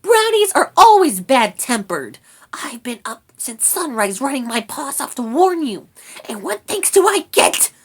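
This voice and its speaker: A woman voicing an indignant little female rat in a storybook, squeaky and snappy, scolding and frantic. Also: sing-song, slow, fairly bright tone, full character voice. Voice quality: shrill, squeaky.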